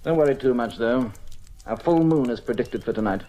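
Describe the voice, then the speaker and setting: Radio-drama villain: very low female voice, swooping intonation, suave and menacing, commanding schemer, noisy old recording. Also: lively intonation, fairly quick, dark tone. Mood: smug, briskly instructing. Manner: suave, articulate, condescending, menacing. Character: cool, scheming, commanding criminal ringleader plotting a theft.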